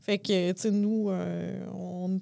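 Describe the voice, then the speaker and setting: A woman's voice: on the low side, flat delivery, slow pace, gravelly, dark tone, dry recording, studio quality. A woman from Abitibi-Témiscamingue with a Québécois accent, sharing her opinions on a panel with many hesitations. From Abitibi-Témiscamingue, Quebec. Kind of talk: panel discussion.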